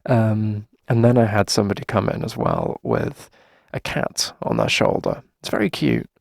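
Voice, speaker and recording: A man's voice: fairly low pitch, huge pitch swings, moderate pace, dry recording, clean studio sound, full character voice. A man playing a friendly, flustered coffee shop owner in a casual, chatty ASMR roleplay, talking hesitantly as if to a favorite customer. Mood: weary, exasperated. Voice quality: creaky, gravelly. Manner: hesitant, chatty, sighing conversational delivery.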